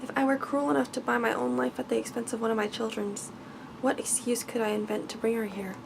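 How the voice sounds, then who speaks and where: A woman's voice: a little high, flat delivery, quick pace, gravelly, noisy background. A woman voicing a frightened, humble merchant father in a bedtime storybook, pleading in formal, deferential speech. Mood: humble, anxious.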